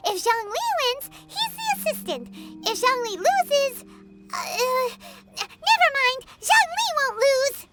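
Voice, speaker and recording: A woman voicing a tiny, cheeky fairy companion who is blunt and quick to interrupt, in a game dub. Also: very high voice, sing-song, loud music behind the voice, heavily acted. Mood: teasing. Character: tiny chirpy floating fairy companion girl. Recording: game score under the voice.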